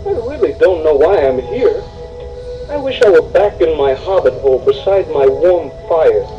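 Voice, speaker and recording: A man's voice: on the high side, lively intonation, normal speed, dull audio, voice pushed up, close to an everyday voice. A man voicing a small, timid and reluctant hobbit in a children's storybook, meek and fretful. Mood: grumbling. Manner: grumbling, meek. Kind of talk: children's storybook read-along acting. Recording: music behind the voice.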